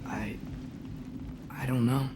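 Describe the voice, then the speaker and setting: A man's voice: mid-range voice, steady tone, slow pace, background noise, lightly acted. A young man playing an earnest, questioning teenage boy adventurer in a video game, speaking in a hesitant, searching way. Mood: uncertain, troubled. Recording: prominent game score behind.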